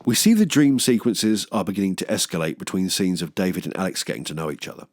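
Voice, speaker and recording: A man with a British accent presents tongue-in-cheek film commentary. His voice sits on the low side but swings widely in pitch as he makes wry, self-mocking asides. The recording is dry, with no room sound. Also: quick pace, no echo. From England. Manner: wry, self-deprecating, expressive comic asides. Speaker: film review video host. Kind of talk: humorous scripted film commentary.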